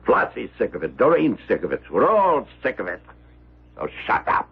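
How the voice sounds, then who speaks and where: An actor playing a rich, domineering old man who barks orders and insults in a gruff radio drama style. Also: mid-range voice, huge pitch swings, moderate pace, phone-quality sound, exaggerated voice. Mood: irritated, scornful. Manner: barking orders, insulting, imperious. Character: rich, gray-haired, domineering old miser, quick to rage. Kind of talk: 1970s radio drama acting.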